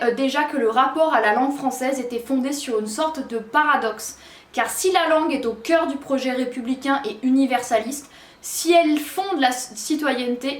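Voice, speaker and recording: A woman's voice: a little high, echoey room. A woman talking casually about a book she read, hesitating often with fillers. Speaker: book reviewer.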